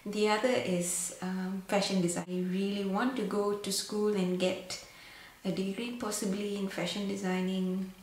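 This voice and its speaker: A woman's voice: medium pitch, little pitch movement, moderate pace, a little mellow. A young woman from Singapore chatting to camera in a casual vlog, full of fillers and restarts. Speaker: makeup vlogger. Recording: very echoey room, faint background hiss.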